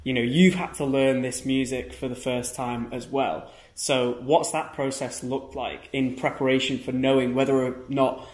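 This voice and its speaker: A man's voice: medium pitch, little pitch movement, normal speed, hardly any pauses, echoey room. A man hosting a casual interview, asking questions and laughing along. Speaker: podcast host interviewer.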